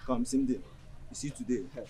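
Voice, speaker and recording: A man's voice: mid-range voice, brisk, mellow, natural voice. A man with a Nigerian Pidgin accent, playing a mocking, menacing village thug. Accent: Nigerian Pidgin English. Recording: crowd noise behind.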